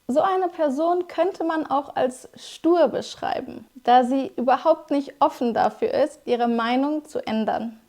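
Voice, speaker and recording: A young woman who is a German teacher, explaining vocabulary to viewers in a clear, scripted lesson style. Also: high voice, a little slow, few pauses, a little mellow.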